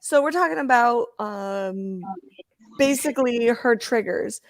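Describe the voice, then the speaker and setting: A woman hosting a casual podcast chat, talking in an animated, hyper way with a raspy voice. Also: a little high, unhurried, dry recording. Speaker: podcast host.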